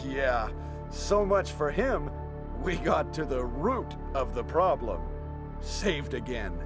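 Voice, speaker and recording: A stiff, terse soldier in an old video game. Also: male voice, mid-range voice, slow. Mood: relieved. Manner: stiff, flat delivery. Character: male special forces soldier, terse and earnest. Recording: game audio with loud music.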